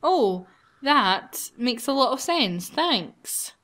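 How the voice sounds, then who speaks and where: A woman's voice: fairly high pitch, very animated, extremely slow, a bit bright. A playful, giggly woman hosting a gaming video, reading a visual novel aloud and bantering casually. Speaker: gaming video host, narrator.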